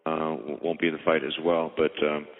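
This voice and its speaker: Very deep, flat-toned man on phone. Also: fairly quick. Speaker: boxing promoter. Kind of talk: explanatory answers on a media call. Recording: tinny phone-line conference call with reverb.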